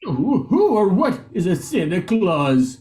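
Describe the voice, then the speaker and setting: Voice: medium pitch, very animated, slow, warm tone. An actor playing a timid, bumbling Cowardly Lion police chief in a comic radio play, nervous and hesitant. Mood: puzzled.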